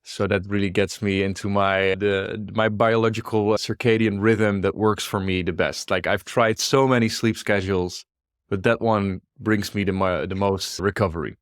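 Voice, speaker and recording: Young dutch guy w/ dutch accent, lowish voice, easygoing, lots of little restarts, dry close mic. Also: moderate pace, clean tone, no echo. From Netherlands. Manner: relaxed, frequent restarts and soft laughs. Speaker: startup founder guest. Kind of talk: casual interview chat.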